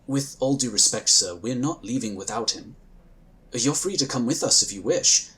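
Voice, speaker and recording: A man voicing a calm, dutiful space agent. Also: mid-range voice, close to an everyday voice. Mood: calmly insistent. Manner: measured, polite, firm. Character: calm, dutiful male psionic scion agent. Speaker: character in audio drama.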